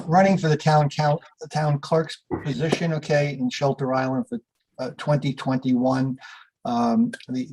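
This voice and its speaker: A man introducing candidates in a halting, casual way, often adding "okay" and correcting himself. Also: mid-range voice, darkish tone, rough recording. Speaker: forum introducer.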